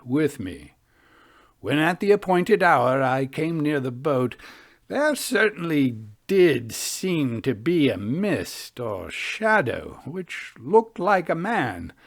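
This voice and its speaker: A man narrating ghost stories in a measured, dramatic reading style with deliberate pauses. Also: medium pitch. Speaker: narrator and host. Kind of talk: scripted spooky story narration.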